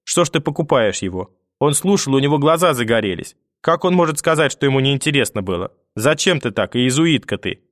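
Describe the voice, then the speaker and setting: A man's voice: mid-range voice, lively intonation, fairly quick, no room sound, clean studio sound, natural voice. A man voicing a wounded, reproachful mother who pleads, in an audiobook reading. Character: hurt, reproachful, tearful mother, adult woman. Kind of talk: audiobook character acting.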